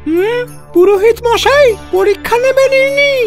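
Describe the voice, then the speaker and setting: An actor voicing a sly, excitable courtier sidekick in a cartoon, gossipy and exclaiming. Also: extremely high pitch, a little slow, soft background music. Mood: surprised. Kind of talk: cartoon voice acting.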